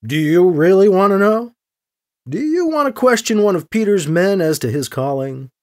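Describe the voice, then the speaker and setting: A man's voice: high voice, sing-song, normal speed, darkish tone, pitched above the performer's own voice. A man voicing a wry church agent priest in an audio drama, polite and probing with dry sarcasm. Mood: measured, probing. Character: wry, smooth-talking male priest and church agent, deferential yet sarcastic.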